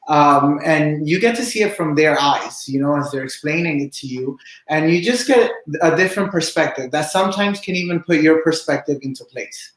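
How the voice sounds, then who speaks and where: A man's voice: on the high side, expressive, moderate pace, few pauses, a bit bright. Upbeat young man from Cuba, echoey room. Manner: upbeat, chatty, many fillers. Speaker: bartender and real estate agent. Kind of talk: casual interview answers.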